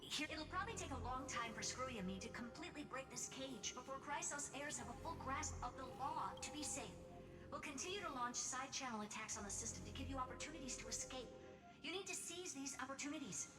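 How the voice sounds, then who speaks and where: A haughty genius in a video game, pitched very high. She is brisk, commanding and sure of herself. The voice sounds echoey and far from the mic, over clear music. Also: animated. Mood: urgent, commanding. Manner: commanding, businesslike, self-assured. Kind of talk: scripted video game voice acting.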